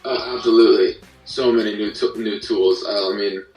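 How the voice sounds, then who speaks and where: Low voiced guy, pretty flat, casual guest chat, rephrases as he goes, a bit far from the mic. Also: moderate pace. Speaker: podcast guest, guitarist. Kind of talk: casual chat, telling own story. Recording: roomy, distant mic, faint music.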